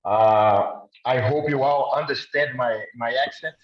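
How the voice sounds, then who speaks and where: A man from Brazil with a Brazilian Portuguese accent, asking a question in a halting, hesitant way, with many fillers and restarts, over a video call. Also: medium pitch. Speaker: audience member asking a question. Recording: echoey muffled video call audio.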